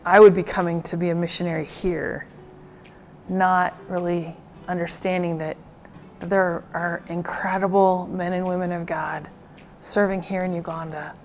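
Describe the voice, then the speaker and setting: An American woman speaking as a missionary, thoughtful and halting, often repeating words as she reflects. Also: lowish voice. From United States. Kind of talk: reflective interview.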